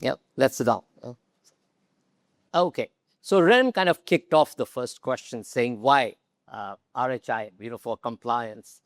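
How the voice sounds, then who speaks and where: A man's voice: mid-range voice, animated, a little slow, no room sound, clean studio sound. Man moderating a conference panel. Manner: friendly, welcoming. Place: conference stage.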